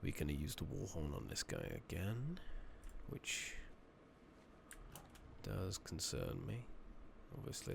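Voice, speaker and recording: Low, gravelly, soft-spoken man. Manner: hushed, casual, rambling. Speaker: streamer playing a video game. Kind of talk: soft-spoken ASMR gameplay commentary. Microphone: close USB condenser microphone. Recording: noticeable background noise, clicking.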